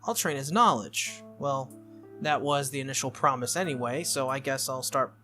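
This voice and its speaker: A bossy teenage girl mage from a visual novel, put on by a man, talks bluntly and with exasperation. The delivery is bright and pointed, over music. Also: mid-range voice, natural voice. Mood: conceding, decisive. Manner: blunt, scolding, brisk.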